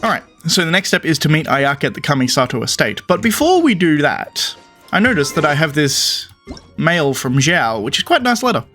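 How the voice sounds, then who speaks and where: A man commentates casually over gameplay, thinking aloud in a slightly higher-than-average voice. His delivery is lively, rising and falling a lot. Faint game music runs underneath. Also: brisk. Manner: relaxed, thinking aloud while playing. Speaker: gaming channel host. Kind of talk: casual let's play commentary.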